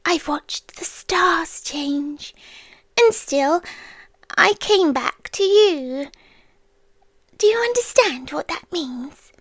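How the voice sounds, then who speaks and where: A British woman playing an ancient, possessive watcher in an ASMR roleplay, hushed and often whispering, teasingly menacing and eerily tender, close on the microphone. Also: very high voice, animated, drawn-out words, long pauses, no echo, heavily acted. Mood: wistful, fascinated. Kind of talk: ASMR roleplay, intimate dramatic monologue. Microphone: close-up ASMR microphone, dry.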